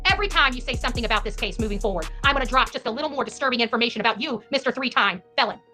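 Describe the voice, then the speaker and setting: A woman's voice: a little high, animated, racing, pushed and bright, faint music behind. A woman hosting an online true-crime channel, delivering an impassioned, combative rant with emphatic repetition.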